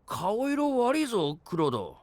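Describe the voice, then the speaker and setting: A man's voice: sits high, huge pitch swings, a little slow, dry recording, heavily acted. An actor playing a friendly young male knight comrade in an anime voice drama, with breezy, casual speech. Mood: concerned, friendly. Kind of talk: Japanese anime voice drama acting.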